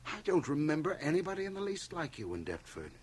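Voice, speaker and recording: A man's voice: fairly high pitch, sing-song, fast, breathy edge. An actor playing a wealthy middle-aged board chairman in a radio drama, urbane and clubby, with an affable but condescending manner. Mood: mocking, patronizing. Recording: 1980 radio drama, hissy cassette transfer.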